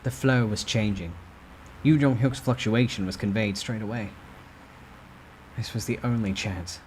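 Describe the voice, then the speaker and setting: A man's voice: fairly low pitch, a little slow, darkish tone, slight background noise, poor audio. A man narrating a novel as a dramatic, theatrical reading. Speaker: storyteller.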